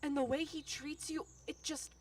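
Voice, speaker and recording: An actress playing a hurt, angry daughter in an audio drama, with an emotional, halting delivery. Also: female voice, high-pitched, level tone, a little mellow, lightly acted. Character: wounded, angry daughter, from sick child to young woman.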